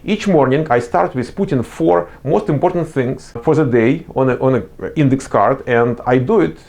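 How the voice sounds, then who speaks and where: A man's voice: medium pitch, normal speed, vocal fry, a little mellow. A man with a non-native accent gives earnest, explanatory job interview advice, with frequent fillers and restarts. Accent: non-native English. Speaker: career advice presenter. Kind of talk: instructional talk to camera.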